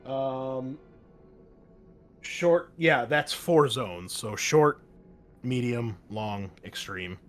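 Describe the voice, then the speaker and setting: A man's voice: mid-range voice, extremely slow, long pauses, soft background music. A man running an online tabletop game as game master, rambling with many fillers and self-corrections.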